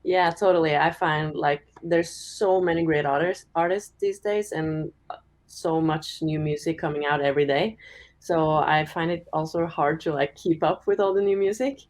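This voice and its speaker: A young woman from Norway with a deep voice, chatting casually as a singer-songwriter in an interview, full of "like" fillers. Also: normal speed. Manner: relaxed, chatty, many "like" fillers, giggles often.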